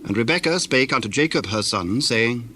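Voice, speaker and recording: A man narrating scripture from a script in a measured, formal way. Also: mid-range voice, animated, sharp tone. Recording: faintly noisy old recording.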